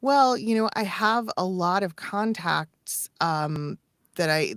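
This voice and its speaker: A woman with a slightly low voice speaks as a coach on a casual podcast. She explains emphatically and works through examples. The recording is dry, with almost no room sound. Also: dry recording. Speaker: podcast co-host and voice-over coach.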